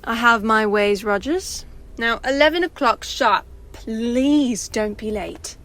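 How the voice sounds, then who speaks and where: Poised, bright, unhurried British sweetheart. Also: female voice, on the high side. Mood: coy teasing. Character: poised, teasing British woman, the hero's sweetheart. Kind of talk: cinematic audio drama acting. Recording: noisy ambience behind the voice.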